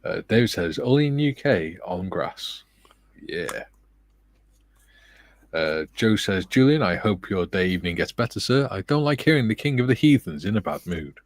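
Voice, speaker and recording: A middle-aged man with a low, soft, slightly husky voice chats and laughs at himself while reading viewer comments. His delivery is lively in pitch despite its calm, soothing tone, recorded close and dry. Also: moderate pace, dry recording. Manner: self-deprecating, laughs often. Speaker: livestream host. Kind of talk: casual livestream chat reading viewer comments.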